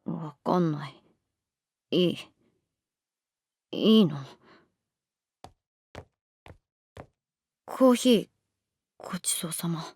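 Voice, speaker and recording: Sulky lovesick teen girl, lowish voice, blunt n hesitant, dry close recording, audio drama. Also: expressive, slow pace, a little mellow, husky, no room sound, heavily acted. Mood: moody, withdrawn. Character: sulky, lovesick teenage runaway schoolgirl. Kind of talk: Japanese voice drama acting with hushed inner monologue.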